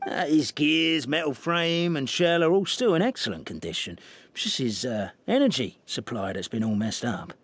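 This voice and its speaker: An actor playing a theatrical, dithering official in a fantasy game, hesitant, dramatic and wry. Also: male voice, fairly high pitch, sing-song, moderate pace, creaky, fairly bright tone, slight background noise, full character voice. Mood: wry, grave. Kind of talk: video game voice acting.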